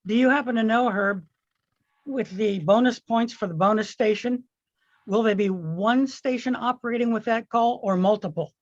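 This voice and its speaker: A man's voice: sits high, normal speed, no echo. A man talks casually as a participant in a club meeting, offering comments and questions. The audio is slightly dull, like a modest call connection, and quite dry. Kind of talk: casual discussion.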